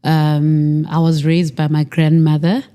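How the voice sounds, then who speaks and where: Low-voiced South African woman, reflective. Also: monotone, dark tone, clear, resonant tone. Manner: measured, reflective, with frequent pauses and restarts. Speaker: podcast guest. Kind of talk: personal storytelling interview.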